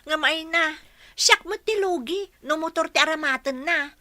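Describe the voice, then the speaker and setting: A woman's voice: high voice, moderate pace, sharp tone, no echo. An actress playing a cheerful, joking young village girl in a radio comedy drama, speaking playfully. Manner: playful, teasing.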